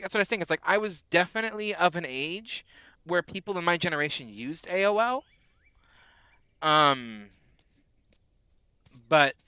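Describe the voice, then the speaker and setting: Chatty young man streaming, bright voice. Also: high voice, clear, resonant tone, dry recording. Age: 20s. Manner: rambling, chatty, answers chat messages. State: a bit sick, on bed rest. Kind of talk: casual live-stream chat while gaming.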